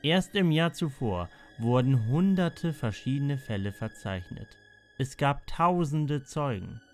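A man narrating a scripted mystery documentary in a measured way, with dramatic pauses and emphatic short sentences. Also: medium pitch. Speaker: narrator of a mystery audio report.

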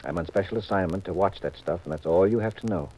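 An actor playing a cool, wry detective with a dry, commanding manner in a 1950s radio mystery. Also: male voice, low-pitched, quick pace, darkish tone, natural voice. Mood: brisk, guarded. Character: cool, wry, assured male detective, married sleuth. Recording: noisy 1950s radio recording.

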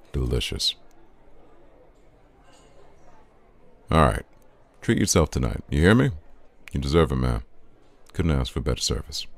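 A suave man, played by a male actor, flirts and teases in an intimate audio roleplay. His voice sits extremely low, with a creaky edge and lively swings in intonation. He talks in an informal, playful, smooth-talking way. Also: slight background noise, exaggerated voice. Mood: friendly, teasing. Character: suave, teasing, flirtatious man hosting a night out.

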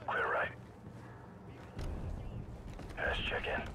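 An actor playing a calm special forces soldier in a video game, giving clipped, low-key tactical commands. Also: male voice, very slow, background noise. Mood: quiet, focused. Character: calm, terse male special forces soldier leading a night raid.

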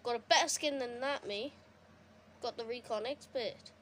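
A young woman giving casual, excitable commentary while playing a console game, her voice going a bit hoarse. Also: a little high, moderate pace, a little hiss or hum. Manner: chatty, excitable, reacting to the game. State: losing her voice. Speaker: gaming streamer. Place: indoors at a console. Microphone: phone filming a TV screen.

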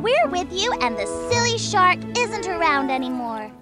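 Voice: very high voice, big pitch swings, normal speed. A performer voicing a caring, adventurous little girl in a children's cartoon, with earnest exclamations and a reassuring manner. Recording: loud children's music behind.

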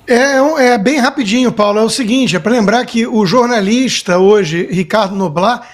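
A man's voice: high voice, normal speed. A man with a Brazilian accent giving indignant, sarcastic news commentary. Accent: Brazilian Portuguese.

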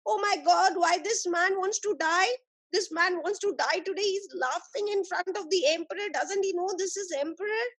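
A woman voicing frightened, scandalised male soldiers in a folk tale, alarmed and hurried. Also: very high-pitched, a bit bright. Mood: scared.

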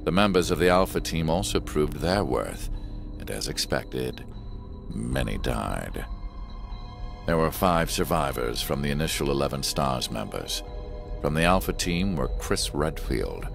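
Game villain narrator: calculating double agent, extremely low, creaky gravel, detached report delivery. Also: male voice, heavily acted. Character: cold, scheming male double agent recounting his plans.

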